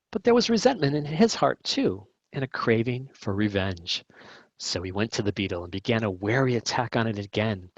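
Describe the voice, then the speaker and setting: A man reads a story aloud in a medium-pitched voice. He phrases it deliberately, clause by clause, as a storybook narrator. The sound is dry and close, with a somewhat dull, lo-fi finish. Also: no echo. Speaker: volunteer audiobook reader.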